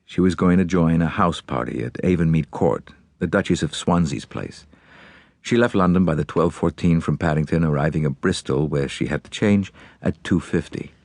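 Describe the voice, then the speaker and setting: A man's voice: rumbling low, moderate pace, creaky, dry recording. A man voicing a large, older, blunt American millionaire and grieving father, with an American accent, in an audiobook. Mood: factual, sombre. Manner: blunt, forceful, businesslike. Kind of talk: audiobook character acting.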